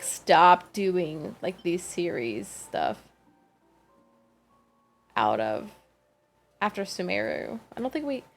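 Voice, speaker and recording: A woman livestreaming a game with casual, rambling commentary, thinking aloud in a halting way and questioning herself. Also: fairly low pitch, gravelly.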